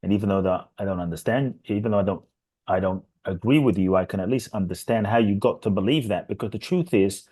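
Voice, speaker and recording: A man's voice: on the low side, quick pace, darkish tone, husky. A man speaking as a podcast guest, a transformational teacher giving earnest answers that build up through repetition. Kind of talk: reflective interview answers.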